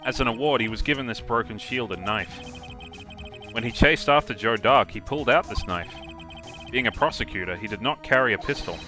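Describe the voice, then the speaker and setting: A man's voice: mid-range voice, a little fast, pushed and bright, faint music behind, natural voice. A man voicing an earnest young defense attorney in a live fan dub, laying out his case step by step. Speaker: fan voice actor.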